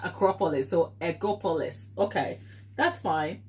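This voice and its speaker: A woman's voice: fairly low pitch, normal speed. Chatty Ghanaian woman baking on a livestream. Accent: Ghanaian with English influence. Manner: chatty, tangent-prone, reads and answers viewer comments. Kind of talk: casual live-stream chat while baking.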